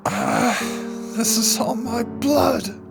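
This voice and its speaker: A man's voice: very high voice, extremely slow, vocal fry, loud music behind the voice, voice pushed up, full character voice. A man voicing a young man in agony and near death, speaking in strained, gasping whispers, for an audiobook. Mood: dazed. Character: young man in agony, dying, panicked and determined.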